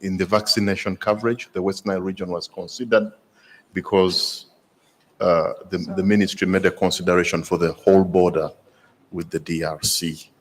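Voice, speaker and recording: Guy chairing a session, really low voice, brisk, echoing big hall. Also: fairly even intonation. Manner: brisk and directive. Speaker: session moderator. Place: large conference hall. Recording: long hall reverb.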